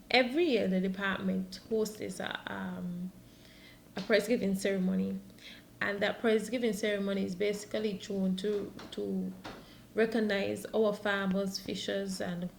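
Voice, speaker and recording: A woman with a Caribbean accent explaining things in an interview as a department spokesperson. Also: medium pitch. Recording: echoey room with clear background noise.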